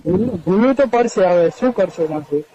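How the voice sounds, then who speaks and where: A man's voice: sits high, expressive, a little fast, dark tone. A man playing a nervous, dismissive young lover who wants out, speaking colloquially in a staged phone-call drama. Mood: insistent, worried. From India. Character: curt, nervous young lover washing his hands of her. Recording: dull lo-fi phone call recording.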